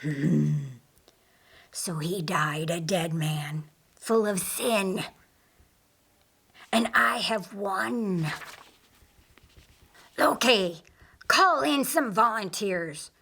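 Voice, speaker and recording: A woman voicing a scheming, gloating male fallen angel in an audiobook, whispery and drawn-out. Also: medium pitch, creaky, a bit bright, slightly rough, exaggerated voice. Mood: scheming, commanding. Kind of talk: audiobook character acting.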